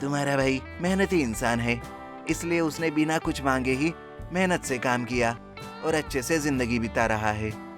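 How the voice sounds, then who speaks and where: A man's voice: medium pitch, normal speed, close to an everyday voice. Man voicing a preachy magical talking fish, music behind. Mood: scolding. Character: stern magical talking fish, moralizing and helpful. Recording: music bed under the voice.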